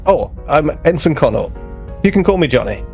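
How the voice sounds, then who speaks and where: A man's voice: fairly high pitch, sing-song, brisk, faint music behind. Man playing an eager, hesitant young starship ensign. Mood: friendly, eager. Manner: polite, hesitant, friendly.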